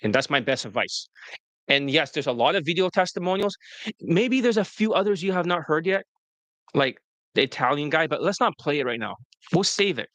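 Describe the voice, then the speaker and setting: A brash man running his dating-coaching talk with loud profanity and scorn for his followers. His voice sits a bit high, recorded close and dry with no room sound. He often lurches into shrill mocking impressions of students. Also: expressive, brisk, no room sound. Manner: brash, profane, mocks students in high-pitched quoted whines. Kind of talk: blunt promotional coaching talk.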